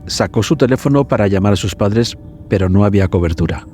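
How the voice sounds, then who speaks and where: Low, mellow male storyteller. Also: expressive, fast, faint music behind. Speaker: podcast host and narrator. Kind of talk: scripted storytelling narration.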